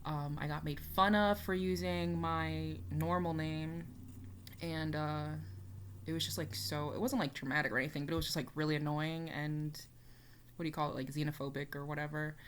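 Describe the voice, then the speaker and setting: A woman's voice: low voice, steady tone, moderate pace, slight background noise. A young woman chatting casually as she reacts to a music video, rambling with lots of fillers. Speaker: reaction YouTuber.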